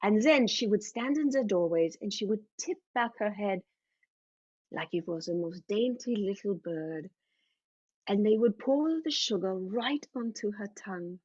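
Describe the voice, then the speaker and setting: A woman's voice: mid-range voice, lively intonation, unhurried, darkish tone, slightly rough, no room sound, muffled recording. Woman acting a theatrical old mother. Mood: wistful, wry. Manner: theatrical, sentimental, non-native phrasing. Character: elderly German mother, dramatic, tender, vain, blunt.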